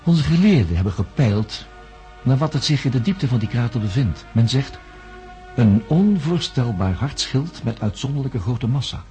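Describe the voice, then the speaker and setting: An actor playing a reflective man who studies the human mind, narrating a radio play in a solemn, contemplative way with dramatic pauses. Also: medium pitch, expressive, warm tone, soft background music, natural voice. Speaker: narrator recounting events.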